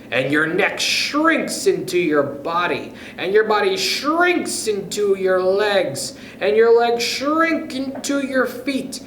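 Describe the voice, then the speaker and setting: A man voicing a gleefully cruel, scheming husband from a children's storybook, taunting with drawn-out emphasis. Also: high-pitched, a bit bright, echoey room, slight background noise, pitched above the performer's own voice, full character voice, low-quality recording.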